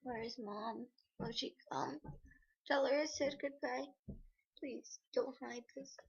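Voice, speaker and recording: A woman voicing a dying young girl who speaks weakly and quietly, pleading as her voice fades. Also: on the high side, takes it slowly, vocal fry, slightly rough, voice pushed up, full character voice. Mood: weak, fading. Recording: lo-fi recording.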